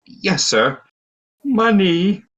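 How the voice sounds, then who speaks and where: Man acting a polite flustered lobby attendant, lively pitch. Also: very slow, lo-fi sound, slight background noise, full character voice. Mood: obedient, pleased. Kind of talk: audio play acting.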